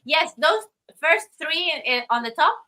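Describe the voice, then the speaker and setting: A middle-aged woman from Costa Rica, a guest artist speaking thoughtfully in an interview, with many pauses and restarts. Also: high-pitched, animated, pushed and bright. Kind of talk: interview conversation.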